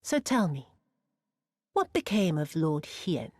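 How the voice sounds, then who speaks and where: An actress playing a cruel, vengeful woman in a video game, mocking and imperious, with a theatrical, drawn-out delivery that sinks into breathy whispers. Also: deep voice, very animated, darkish tone, no room sound, clean studio sound, exaggerated voice. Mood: silky, threatening. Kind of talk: dramatic video game cutscene acting.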